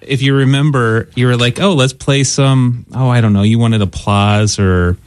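A man's voice: medium pitch, steady tone, normal speed, smooth, no echo. A man co-hosting a radio show in casual, conversational studio banter. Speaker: radio show co-host working the sound buttons.

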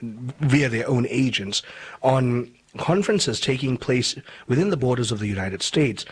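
A man's voice: medium pitch, husky, rough recording. Articulate, emphatic male radio host. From Malaysia. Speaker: talk show host. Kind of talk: radio interview and commentary.

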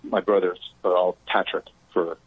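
A man calling in to a radio show by phone, talking in a thoughtful, halting, conversational way. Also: deep voice, flat delivery, breathy edge, dry recording. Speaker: phone-in caller. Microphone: telephone. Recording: muffled, narrow phone line.

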